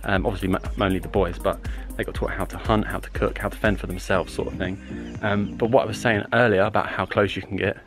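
A man's voice: on the low side, fast talker, few pauses, music in the background. A young man chatting casually to a camera held at arm's length, narrating a travel vlog outdoors and in a campervan, with loose fillers. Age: young adult. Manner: relaxed, chatty, hesitant with fillers. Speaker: travel vlogger. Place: outdoors at a desert rock site and in a campervan.

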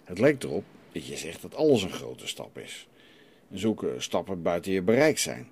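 Guy playing a defiant stern priest in an audio drama, low voice, very animated, preachy and challenging. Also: normal speed, slightly rough, heavily acted. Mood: admonishing. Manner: challenging, preachy, rhetorical questioning. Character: stern, defiant renegade priest hearing confession. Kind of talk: radio play dialogue. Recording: light background noise.

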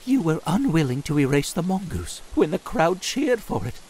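Man acting a high-voiced, heartbroken snake demon. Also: very animated, moderate pace, a little mellow, slightly rough. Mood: hurt, earnest. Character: earnest, heartbroken male snake demon, pleading lover. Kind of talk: audio comic dub acting. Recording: background music. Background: music.

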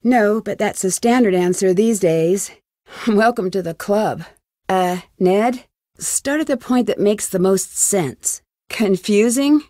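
A woman voicing a young amateur detective in a video game, inquisitive and determined, with a clear, earnest, questioning delivery. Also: mid-range voice, slow pace, warm tone, no room sound, studio quality, natural voice. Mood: wry, casual. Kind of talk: scripted game dialogue, isolated lines.

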